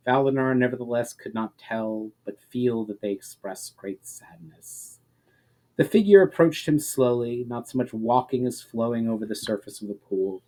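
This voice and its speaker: A man with a dark, mellow voice of medium pitch reads a fantasy tale aloud. His narration is dramatic, with sentence endings that fall away softly. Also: rough recording. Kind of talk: dramatic reading of a fantasy story.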